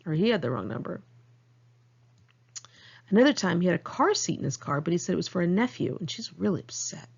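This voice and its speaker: Low-voiced American woman reading, slightly dull sound. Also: sing-song, brisk, creaky, pauses often, breathy edge. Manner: conversational, candid, informal. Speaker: author reading own memoir. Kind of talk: audiobook narration of diary entries.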